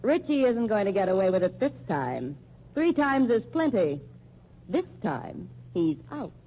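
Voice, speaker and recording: An actress playing a sardonic, dogged American newspaper reporter in a brash, needling 1940s radio style. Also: female voice, medium pitch, very animated, a little slow. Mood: dogged, forceful. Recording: muffled old radio recording, faint noise.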